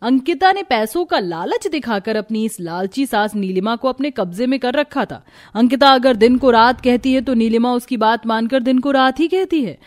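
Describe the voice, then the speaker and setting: A woman reads a scripted family story as narrator. Her voice is fairly high, and the recording is dry with very little room sound. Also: lively intonation, moderate pace, hardly any pauses, a little mellow, no room sound.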